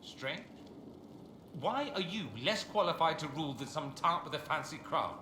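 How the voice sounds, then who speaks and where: A man's voice: a little high, lively intonation, moderate pace, roomy sound, background noise, close to an everyday voice. A man playing a sardonic, upper-class fantasy mage in a video game, speaking in a theatrical, condescending way. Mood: amused, haughty. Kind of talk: video game dialogue acting.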